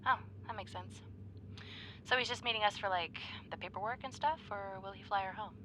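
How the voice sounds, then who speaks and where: A woman playing an excitable, playful young woman on a date, in a conversational, halting roleplay style with teasing, gushing delivery. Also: medium pitch, a little hiss or hum, lightly acted, rough recording. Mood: playful, curious. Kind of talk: ASMR roleplay acting, one side of a dialogue.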